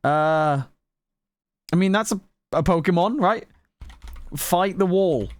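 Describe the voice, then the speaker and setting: A woman giving casual, reactive gameplay commentary in an informal, excitable way. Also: fairly low pitch, slow pace. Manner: animated, reactive, giggly, informal with mild swearing. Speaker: gaming video host and player.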